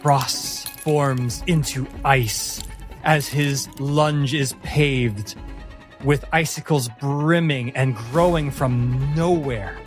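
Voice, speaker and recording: A man narrating a tabletop fantasy combat as game master, in a deliberate, vivid storytelling manner. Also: medium pitch, fairly even intonation. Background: music.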